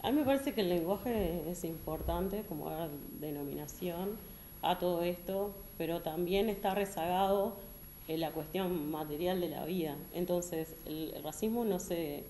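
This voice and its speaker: Earnest woman panelist, low voice. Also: dark tone, slight background noise. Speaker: panelist, artist and early-childhood educator. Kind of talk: panel discussion answer. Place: echoey hall. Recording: echoey hall.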